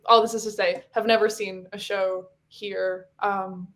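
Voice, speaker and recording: A woman's voice: medium pitch, little pitch movement, a little slow, a bit bright. Hesitant woman guest from Hong Kong. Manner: hesitant, many fillers and restarts. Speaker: podcast guest. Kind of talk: casual chat.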